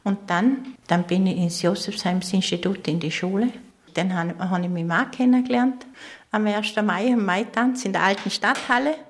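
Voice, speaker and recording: Older austrian lady, vorarlberg dialect, average pitch, just talking and remembering in an interview. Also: fairly even intonation, moderate pace. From East Tyrol, Austria. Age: 60s or older. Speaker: interview guest. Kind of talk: conversational reminiscing in dialect.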